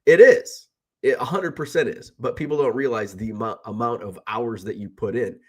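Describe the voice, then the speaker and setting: A man's voice: mid-range voice, normal speed, husky. A middle-aged man who sells baseball cards, talking casually in a rambling, chatty way while sick with a cold. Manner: rambling, self-correcting, chatty. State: sick with a cold, slightly rough voice. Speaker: card seller and live streamer. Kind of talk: casual vlog chat.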